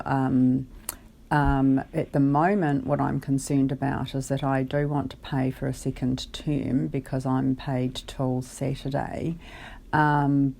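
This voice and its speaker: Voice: mid-range voice, creaky, darkish tone. A caller on a phone conversation, explaining their case in a hesitant, careful and articulate way. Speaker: caller, benefit client.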